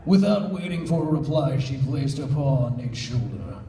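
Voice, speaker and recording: Animated man reading in an echoey room. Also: medium pitch, normal speed. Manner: interjecting, playful asides. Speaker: panel host and story reader. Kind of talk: comic live fan fiction reading with banter. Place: convention panel room. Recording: echoey room, distant mic.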